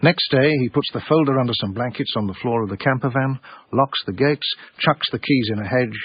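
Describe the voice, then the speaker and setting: A man playing a cultured, sardonic art dealer with an English accent and a husky voice, narrating a radio drama in a measured, literary way. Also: medium pitch, dry recording, lightly acted. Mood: wry, sardonic. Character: wry, cultured, obsessive small-time art dealer narrator. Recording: dry, slightly muffled radio broadcast.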